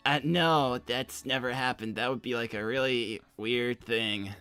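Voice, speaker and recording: A man's voice: mid-range voice, measured pace, sharp tone, clear, resonant tone, faint music behind. A man playing a naive, earnest teenage boy, stammering and hesitant, in an improvised in-character livestream. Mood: awkward, dejected. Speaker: co-host answering viewer messages.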